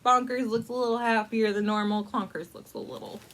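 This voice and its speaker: A woman's voice: on the high side, a bit bright, a little hiss or hum. Playful woman narrating a picture book. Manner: playful, wry asides with mock-dramatic commitment; conversational, frequently pausing to think aloud. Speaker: library storyteller reading a picture book to adults. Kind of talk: casual, improvised picture-book storytime narration.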